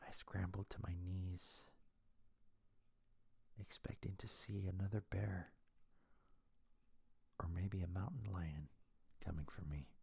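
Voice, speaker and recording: A man softly telling a scary story in ASMR style, breathy and hushed, in short deliberate phrases close to the mic. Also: low-pitched, steady tone, dry recording. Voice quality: breathy, whispery, husky. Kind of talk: ASMR soft-spoken scary story narration. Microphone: close mic, dry.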